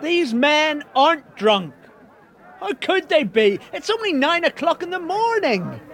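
A man's voice: very high voice, big pitch swings, unhurried, a bit bright, exaggerated voice. An actor playing the apostle Peter, a plain-spoken man preaching earnestly to a crowd in a radio drama. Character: Peter, bold fisherman apostle, middle-aged man.

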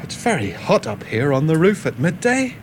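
Andrew, in a radio play, is a jumpy apostle who frets easily. His high voice swings widely in pitch. Noise sits clearly behind him. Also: very animated. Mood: anxious. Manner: jumpy, quick to worry. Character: Andrew, anxious brother apostle, adult man. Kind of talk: radio drama acting.

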